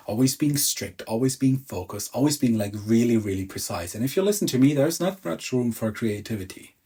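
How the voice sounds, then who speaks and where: Husky-voiced German man, calm coach. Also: on the low side. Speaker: emotional release coach. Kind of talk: reflective teaching monologue.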